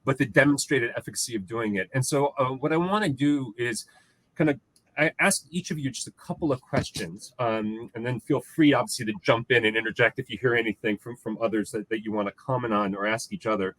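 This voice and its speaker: A man's voice: mid-range voice, fairly bright tone, low-quality recording. A man moderating a panel discussion, introducing guests and asking questions with frequent restarts and fillers. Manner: halting, many restarts and fillers.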